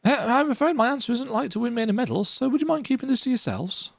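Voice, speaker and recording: A woman voicing a weary, earnest young male imperial soldier who speaks in a reflective, softly resigned way. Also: fairly high pitch, sing-song, fast talker, gravelly, dry recording, voice pushed up, exaggerated voice.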